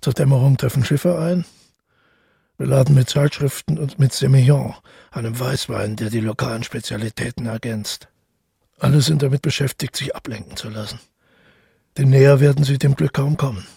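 An actor playing a brooding, isolated man haunted by dreams and guilt, in a measured, introspective radio drama monologue with a gravelly, husky voice. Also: medium pitch, steady tone, warm tone, no room sound, clean studio sound. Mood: brooding, reflective.

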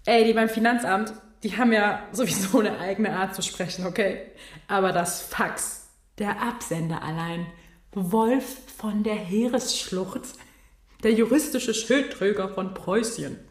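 A woman's voice: mid-range voice, measured pace, reverberant, lightly acted. An actress playing a chatty young intern woman who talks in a casual, rambling, slangy way.

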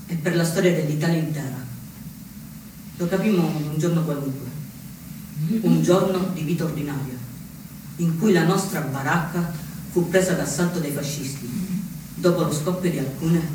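An actor playing a solemn young anarchist recalling painful years in an emphatic theatrical monologue with deliberate repetition. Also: male voice, fairly high pitch, natural voice. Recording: echoey distant stage recording, faint noise.

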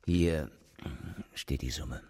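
An actor playing a senior police official in a radio drama, calculating and coldly coercive in measured, formal speech. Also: male voice, a bass voice, mellow, full character voice. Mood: businesslike, persuasive. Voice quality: gravelly, husky. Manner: measured, formal, veiled threats.

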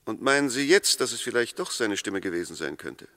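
A man's voice: on the low side, sing-song, a little fast, pushed and bright, no room sound, exaggerated voice. A man acting an insistent, courteous but sceptical defence lawyer in a 1960s German radio drama, precise and argumentative. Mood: probing. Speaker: actor in a radio play.

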